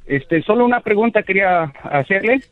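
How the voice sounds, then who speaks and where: A man calling in to a radio show, adoring and halting, with many fillers and restarts. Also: high voice, lively intonation, no room sound. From United States. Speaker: radio caller. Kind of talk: phone call to a radio show. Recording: tinny phone line.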